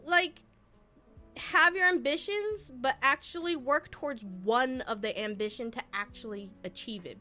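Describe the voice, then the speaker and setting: A woman's voice: high-pitched, sharp tone. A young adult woman streamer, Vietnamese by first language, giving earnest, rambling advice to her chat with laughs and restarts.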